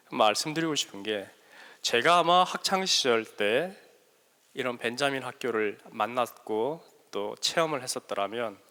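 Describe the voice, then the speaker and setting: A man's voice: mid-range voice, bright, forceful tone. A man speaking learned Seoul Korean with a lingering regional dialect, giving a joking, self-deprecating lecture to students into a handheld mic, with frequent hesitant fillers. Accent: Korean regional dialect under learned Seoul speech. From South Korea. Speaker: guest mentor lecturer. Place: large hall at a school workshop. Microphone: handheld microphone. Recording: echoey hall reverb.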